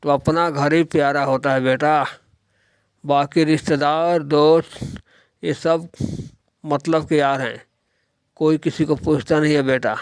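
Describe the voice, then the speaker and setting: Man acting an older cartoon father, admonishing, worldly-wise, clear resonant tone, dry recording. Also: fairly high pitch, fairly even intonation, a little slow, pauses often, no room sound. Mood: admonishing, fatherly. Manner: lecturing, admonishing. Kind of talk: Hindi moral-story cartoon dialogue.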